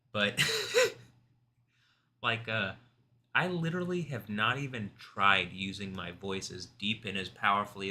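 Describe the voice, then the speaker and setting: A man hosting a live stream, talking in an intense, rambling way, confrontational at times and soft-spoken at others. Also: on the low side, a little hiss or hum. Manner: calls himself soft-spoken. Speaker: livestream host.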